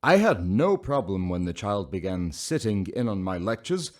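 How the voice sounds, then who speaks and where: An actor playing a pompous, easily offended professor who speaks in an indignant tone. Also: male voice, low-pitched, sing-song, normal speed, darkish tone.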